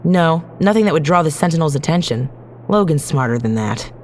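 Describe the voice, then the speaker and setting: An actress playing a determined, battle-worn resistance fighter and wife in an audio drama, plain-spoken and earnest. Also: female voice, low voice, expressive, a little fast, a little mellow, a little hiss or hum. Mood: businesslike.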